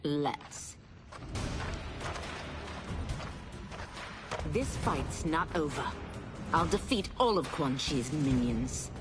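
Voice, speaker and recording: A woman's voice: deep voice, expressive, slow pace, music in the background. A woman playing a stern, vengeful warrior in a video game, grave and resolute, in clipped phrases. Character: stern vengeful female warrior turned against her former master. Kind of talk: dramatic video game acting.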